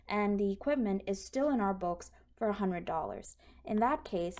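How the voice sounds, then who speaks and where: A woman's voice: medium pitch, mellow. A woman instructor explaining accounting in an informal, step-by-step tutorial style. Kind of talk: explanatory tutorial over handwritten notes. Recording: clearly noisy recording with clicks.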